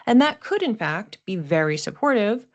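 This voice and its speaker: A woman's voice: mid-range voice, sing-song, normal speed, muffled recording. A woman hosting an astrology forecast in a casual, explanatory way, conversational and given to voicing imagined thoughts aloud. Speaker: astrologer host.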